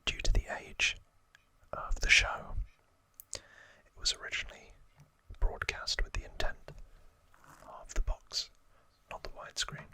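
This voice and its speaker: ASMR host, whispered delivery, very slow pace, soft drawn-out phrases, frequent pauses, crisp bright sound. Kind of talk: whispered ASMR trivia talk.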